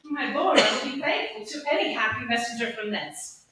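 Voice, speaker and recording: Woman playing a high-voiced, courtly young gentleman. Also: lively intonation, brisk, lightly acted. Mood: earnest. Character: witty, lovestruck young gentleman. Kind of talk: staged Shakespeare reading. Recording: distant, very echoey room, faint noise.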